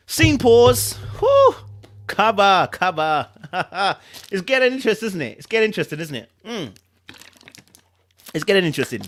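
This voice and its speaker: A man with a slightly high, very lively voice hosts a dramatic reading. He slips between narration and chatty reactions, repeating phrases for emphasis. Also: moderate pace. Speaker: host reading a book aloud.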